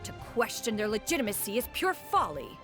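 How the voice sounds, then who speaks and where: Haughty, animated immortal lady. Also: on the high side, brisk. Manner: formal, archaic, pompous diction. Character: proud, haughty immortal woman posing as antiques collector. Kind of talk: video game voice acting. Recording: music behind the voice.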